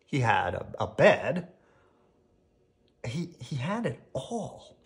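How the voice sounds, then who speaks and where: A storybook reader giving an expressive bedtime read-aloud in a theatrical, playful way with pauses. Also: mid-range voice.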